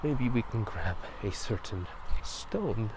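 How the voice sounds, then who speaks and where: Low, whispery man over outdoor noise. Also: animated, takes it slowly. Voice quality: soft, breathy half-whisper. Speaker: ASMR video host. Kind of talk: casual ASMR game talk. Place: outdoors at night. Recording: noisy outdoor ambience. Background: drainage pipe water, passing cars.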